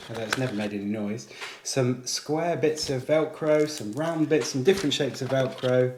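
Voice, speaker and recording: A man's voice: mid-range voice, moderate pace. A man presenting a casual product unboxing, chatting informally with British slang. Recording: echoey room, faint background noise.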